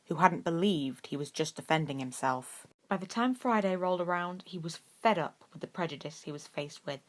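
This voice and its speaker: A woman reading scripted story narration as an amateur audiobook narrator. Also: low-pitched.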